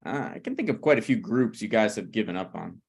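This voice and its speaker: A man's voice: fairly low pitch, lively intonation, fast talker, gravelly, darkish tone, breathy edge, heavily acted. A man reading the part of a flippant, needling, self-deprecating screenwriter in a conversational comedy table read. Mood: wry, teasing.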